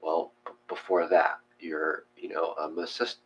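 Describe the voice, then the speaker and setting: A man in a casual, reflective discussion, thinking aloud in a halting way and trailing off. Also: sits low, flat delivery, fairly bright tone. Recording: muffled, with faint hum.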